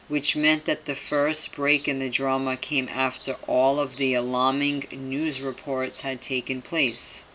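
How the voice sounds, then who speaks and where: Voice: medium pitch, sharp tone, a little hiss or hum, rough recording. A person reading aloud and commenting casually to viewers, with skeptical, conspiratorial asides and frequent tag questions, in a home recording. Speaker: video host commenting on a topic.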